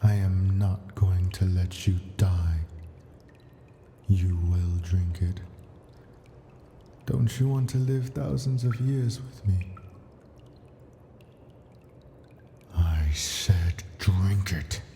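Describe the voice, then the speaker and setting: Man acting as an ancient male dragon, very dark mellow tone, unhurried, doting yet menacing, imperious, ASMR fantasy roleplay. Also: low-pitched, slight background noise. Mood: menacing, commanding. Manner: slow, coaxing, imperious, formal storybook diction.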